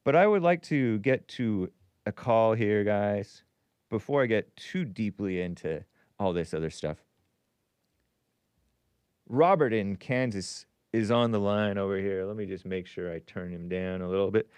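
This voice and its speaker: A man's voice: lowish voice, unhurried, darkish tone, smooth, dry recording. A man hosting a call-in talk show, rambling through the news with opinions and frequent restarts. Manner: rambling, opinionated, self-interrupting. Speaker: host. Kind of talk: casual talk radio monologue.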